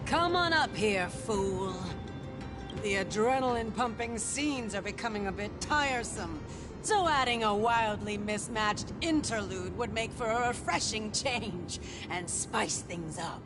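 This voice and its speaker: Casting: scornful arena herald for a fantasy game, high voice, slow grand delivery, music underneath. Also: female voice, lively intonation. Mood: mocking, scornful. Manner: declamatory, scornful. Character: haughty, mocking female herald of a brutal warrior festival. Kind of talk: grand theatrical game voice acting.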